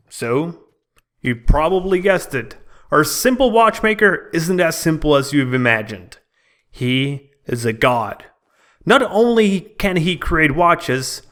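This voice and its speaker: A man's voice: a little high, fairly bright tone. A man narrating a scripted video game review in a dramatic, storytelling manner.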